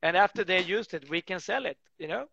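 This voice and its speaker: A man's voice: high voice, expressive, a little fast, gravelly, pushed and bright, dull audio. A man speaking English as an in a casual interview, rambling with many restarts and fillers. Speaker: guest, tree work business owner.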